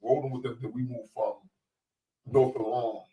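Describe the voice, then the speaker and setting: Man in his forties, deep voice, laid-back storyteller, mic a bit far off in an echoey room, for a podcast host read. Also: flat delivery, moderate pace. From Baltimore. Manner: laid-back, rambling storyteller with shout-outs. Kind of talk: casual storytelling chat. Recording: roomy echo, distant mic.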